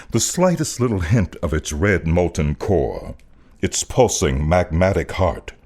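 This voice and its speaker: Man, American accent, very low bass voice, unhurried pace, theatrical poetry recitation, deliberate phrasing. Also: darkish tone. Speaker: voice artist reading a poem.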